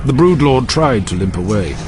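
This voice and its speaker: A man's voice: mid-range voice, big pitch swings, normal speed, over music. A man narrating a scripted audio drama in a theatrical, emphatic, intense manner.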